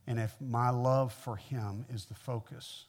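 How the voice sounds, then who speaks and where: Older american guy in his 60s, pastor chatting to his congregation, folksy, medium pitch. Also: fairly even intonation, measured pace. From Midwestern United States (Missouri). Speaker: visiting pastor. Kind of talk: conversational sermon to a congregation.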